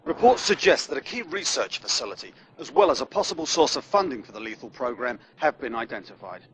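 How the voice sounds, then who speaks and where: Animated male war correspondent. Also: medium pitch, fast talker, slight background noise, rough recording. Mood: urgent, serious. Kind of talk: scripted news report.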